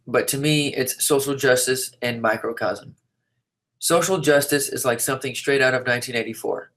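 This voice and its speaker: A man reading written interview answers aloud in a measured, articulate, declarative way. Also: medium pitch, a bit bright, poor audio. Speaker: reader of an interviewee's typed answers.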